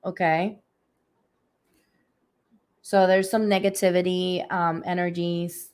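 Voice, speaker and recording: A woman with a slightly hoarse voice giving a live spiritual reading, speaking softly and unhurriedly with many fillers. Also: on the low side, level tone, slow. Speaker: energy healer giving readings. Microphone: phone or webcam on a livestream.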